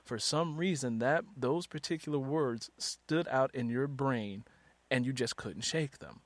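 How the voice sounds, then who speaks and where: A man's voice: mid-range voice, measured pace, dry recording. A man hosting a conversational literary interview podcast, chatty and wordy, repeating phrases for emphasis.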